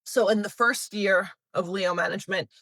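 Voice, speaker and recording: A woman's voice: mid-range voice, fairly even intonation, normal speed, bright, forceful tone, no room sound. A woman in her thirties who grew up in Canada, casually answering interview questions with many fillers and restarts. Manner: chatty, many fillers and restarts, laughs lightly. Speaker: talent agency CEO.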